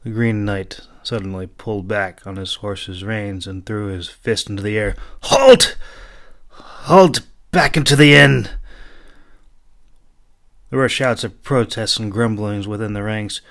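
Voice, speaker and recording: A man reading his fantasy audiobook as dramatic, deliberate scripted narration. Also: fairly low pitch, level tone. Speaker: author reading own audiobook.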